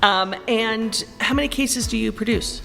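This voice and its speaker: A woman's voice: a little high, unhurried, creaky, bright, forceful tone. A woman interviewer asking friendly, prompting questions in a casual chat. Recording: reverberant room with music.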